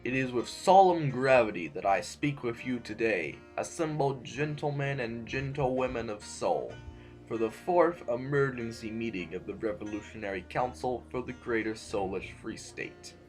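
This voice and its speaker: A man playing a formal, courteously menacing sovereign who chairs a council, speaking in pompous, measured, halting oratory in an audio drama. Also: medium pitch, faint music behind, natural voice. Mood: solemn, formal.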